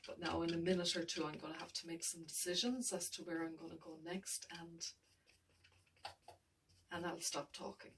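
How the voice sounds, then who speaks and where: A woman's voice: fairly low pitch, fairly even intonation, normal speed, distant mic, noisy background. A woman art tutor talking through her painting as she works, thinking aloud, often mumbling and trailing off.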